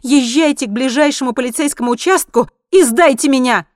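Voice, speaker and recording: A woman's voice: sits high, rapid-fire, dry recording, clean studio sound, voice pushed up, close to an everyday voice. A woman voicing a desperate, headstrong woman on the run, insistent and urgent, in an audiobook. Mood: indignant. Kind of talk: acted audiobook dialogue.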